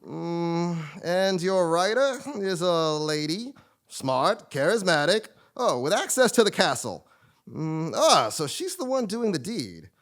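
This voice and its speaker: A man playing an eccentric, melodramatic fortune-telling sage in a comic play on stage, with drawn-out pondering and sudden cries. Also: fairly high pitch, sing-song, a bit bright, heavily acted. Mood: mystical pondering. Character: eccentric, theatrical self-styled male sage and fortune teller. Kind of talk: comic stage acting before a live audience. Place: theatre stage, live performance.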